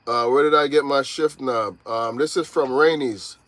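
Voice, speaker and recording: A man's voice: medium pitch, normal speed, a bit bright, no echo. Laid-back male trucker in his thirties, casual livestream chat from his truck cab. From Jamaica. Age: 30s. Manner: laid-back, talks straight to viewers, calls them y'all. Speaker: truck driver vlogger.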